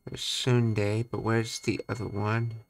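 A man casually thinking aloud as he studies a language on a livestream, halting and repeating words. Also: lowish voice, flat delivery, gravelly. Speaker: streamer learning Japanese.

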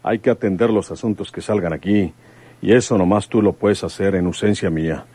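An actor playing a devoted, plain-spoken country detective in a radio drama. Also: male voice, low voice, a little fast, mellow, slightly rough, no echo. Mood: firm, dutiful.

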